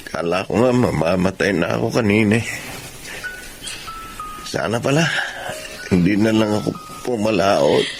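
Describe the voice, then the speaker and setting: A man's voice: lowish voice, moderate pace, creaky, pauses often, soft background music. An actor with a Filipino accent playing a remorseful fisherman husband in a radio drama. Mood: weak, remorseful.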